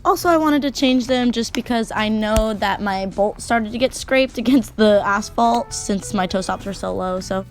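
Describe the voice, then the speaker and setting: A young woman with a sore, raspy throat giving a chatty how-to voiceover about skate parts. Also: fairly high pitch. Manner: chatty, relaxed, with many fillers. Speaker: skating vlogger. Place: home at night.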